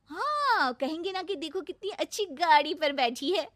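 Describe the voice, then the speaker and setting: A woman's voice: very high-pitched, animated, normal speed, no echo, studio quality, pitched above the performer's own voice. A woman voicing a spirited young village daughter-in-law who answers back playfully. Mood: cheeky. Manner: cheeky, bright and playful.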